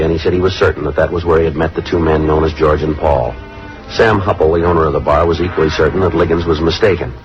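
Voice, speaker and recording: An actor playing a terse, skeptical police detective in a 1950s radio drama, deadpan and clipped. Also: male voice, low-pitched, natural voice. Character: dry, skeptical male police detective, narrating and interrogating. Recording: dull old radio recording with music.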